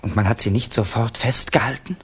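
An actor playing a reserved, guarded young student who answers in terse questions, in 1960s German radio drama style. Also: male voice, medium pitch, brisk, breathy edge. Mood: shocked, guarded.

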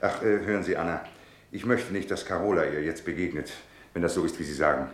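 Man acting tense tired husband, very low pitch, controlled instructing delivery, echoey room, radio drama. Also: quick pace, close to an everyday voice. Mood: firm, hushed. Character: tense, weary husband searching for a missing child. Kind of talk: German radio play acting.

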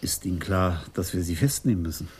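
An actor playing an older police commissioner in a German radio crime drama, measured, formal and probing. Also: male voice, low-pitched, darkish tone, a little hiss or hum, close to an everyday voice. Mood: grave, measured. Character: composed, courteous but probing senior police commissioner.

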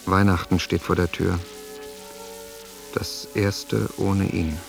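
An actor in a radio drama playing a brooding grown son who reads his diary in a sombre, introspective, measured way. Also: deep voice, level tone, gravelly, soft background music, exaggerated voice.

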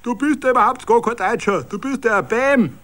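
A man voicing hoarse, jeering drunken Bavarian village revelers in a radio story, bawling and slurring. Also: very high-pitched, expressive, a little fast, voice pushed up. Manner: bawling, slurred festive shouting.

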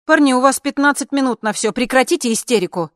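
A harried, bossy female organiser, as a woman storyteller acts her out. The voice is pushed high, barking impatient orders in an audiobook scene. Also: expressive, fast, no echo, clean studio sound. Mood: bossy impatience. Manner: commanding, sharp-tongued, shouting.

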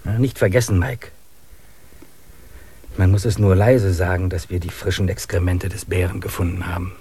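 A man's voice: low voice, fairly even intonation, moderate pace, mellow, slightly rough. An actor playing a pensive male expedition member who speaks in a reflective, earnest way in a radio play. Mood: hushed.

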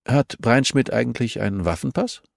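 A man's voice: mid-range voice, animated, normal speed, vocal fry, dry recording, clean studio sound, pitched above the performer's own voice. Man voicing a composed, firm female investigator. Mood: wry. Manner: matter-of-fact, politely insistent.

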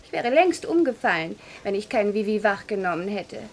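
An actress playing a cheeky, flippant, fashionable secretary in a German science-fiction radio play. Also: female voice, medium pitch, very animated, normal speed. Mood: cheeky, breezy. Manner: flippant, matter-of-fact. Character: breezy, cheeky, modish office secretary. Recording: vintage radio recording, faint noise.

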